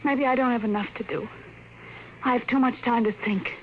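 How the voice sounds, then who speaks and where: A woman playing an uneasy housewife who pleads and frets in a fairly high voice. It is old-time radio acting. The sound is a muffled broadcast with a faint hiss. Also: a little fast, close to an everyday voice. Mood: wistful. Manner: anxious, pleading. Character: frightened restless housewife and mother. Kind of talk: 1950s radio drama acting.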